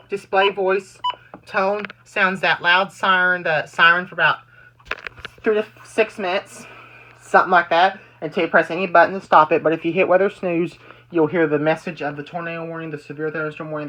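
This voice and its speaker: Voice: mid-range voice, steady tone, a little fast, pushed and bright, a little hiss or hum, rough recording. A person giving a casual, rambling show-and-tell demonstration, often repeating and restarting phrases. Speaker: amateur video maker demonstrating weather radios.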